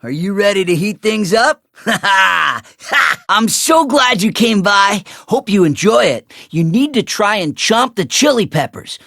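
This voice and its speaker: Excitable anxious lil island villager for a cartoon game, voice jumps all over, friendly, dry recording. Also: medium pitch, measured pace, creaky, no echo, heavily acted. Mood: eager, nervous. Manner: exclaiming, fretful, eager. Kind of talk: cartoon video game voice acting.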